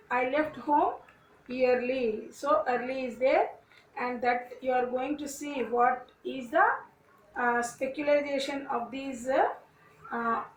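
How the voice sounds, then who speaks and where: Woman teacher, high voice, slow deliberate phrasing, faint background noise. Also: recorded from a distance. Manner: slow, repeats each sentence phrase by phrase. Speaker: English teacher. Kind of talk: grammar lesson, reading example sentences aloud. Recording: echoey room, voice a bit off-mic, faint noise.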